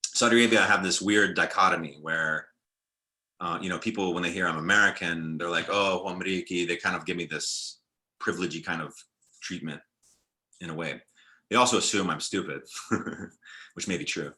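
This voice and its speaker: Low-voiced man musing on a video panel. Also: normal speed. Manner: relaxed, reflective, many "like"s and restarts. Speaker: film director and panelist. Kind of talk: panel discussion over video call. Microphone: video call.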